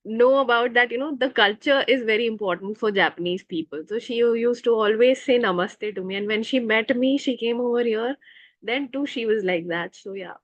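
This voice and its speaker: A woman's voice: fairly high pitch, moderate pace, dry recording, lo-fi sound. A woman at a club meeting chattily sharing a short story, with many fillers. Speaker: meeting participant. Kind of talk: personal anecdote.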